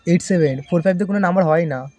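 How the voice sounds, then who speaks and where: A woman's voice: fairly low pitch, racing, dark tone, no room sound. A young woman in casual banter among friends, talking in an insistent, bossy way. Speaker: prank caller.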